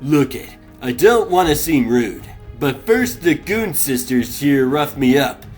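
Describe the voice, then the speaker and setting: A cocky young mouse voiced by a man in a cartoon comic dub. His slangy, defiant voice is fairly high and swings around excitably, with faint music behind. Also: measured pace. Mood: indignant. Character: cocky young male mouse athlete under pressure.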